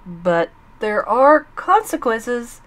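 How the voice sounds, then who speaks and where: A woman reading aloud, voicing a cold, stern male school official who speaks slowly and dismissively. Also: fairly high pitch, sing-song, fairly bright tone, pitched above the performer's own voice, heavily acted.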